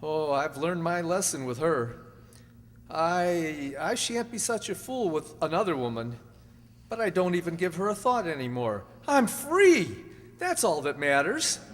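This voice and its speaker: A man's voice: on the high side, big pitch swings, unhurried. An actor playing a naive, carefree, newly acquitted man in a radio play, with breezy exclamations. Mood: relieved. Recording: reverberant hall.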